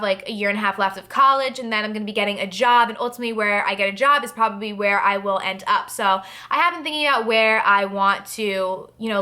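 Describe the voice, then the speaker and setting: Young woman vlogger in her twenties, bright voice of middling pitch, loose and chatty delivery. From New Jersey, USA. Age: 20s. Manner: chatty, rambling, many fillers and "like". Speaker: beauty vlogger. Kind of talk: casual Q&A talk to camera.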